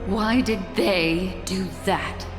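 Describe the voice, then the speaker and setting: An actress voicing a composed, skeptical woman in a video game, speaking in a formal, measured way. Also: medium pitch. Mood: troubled. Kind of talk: video game voice acting, dramatic dialogue. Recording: echoey hall, clear music underneath.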